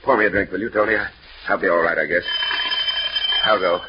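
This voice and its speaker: A man's voice: sits low, fairly even intonation, fairly quick, close to an everyday voice. An actor playing an earnest, polite businessman devoted to his wife, in an old-time radio mystery. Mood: shaken, subdued. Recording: noisy, dull vintage radio recording.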